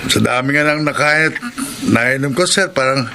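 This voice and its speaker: A loyal, drowsy driver in an old radio drama. His voice is bright and edged, politely calling his boss sir. A faint hiss lies under it. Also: mid-range voice, normal speed. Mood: sleepy, concerned. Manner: respectful, says sir often.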